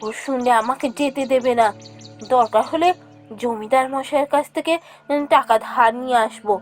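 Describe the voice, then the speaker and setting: Lady doing a poor anxious village wife, really high pleading voice, cartoon, music behind. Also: moderate pace, natural voice. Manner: pleading, emotive. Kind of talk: Bengali fairy-tale cartoon voice acting.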